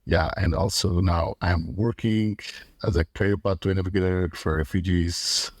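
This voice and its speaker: Man, Afghan accent, very low husky voice, halting speech, dry close mic. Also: steady tone, no echo. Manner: halting, with many pauses. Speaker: guest, refugee tenant. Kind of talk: podcast interview.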